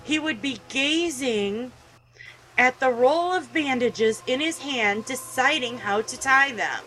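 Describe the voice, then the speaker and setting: A woman's voice: high-pitched, slow, pushed and bright, faint music behind, poor audio. A woman hosting a gaming video, reading a visual novel aloud and commenting with emotional, indignant feeling.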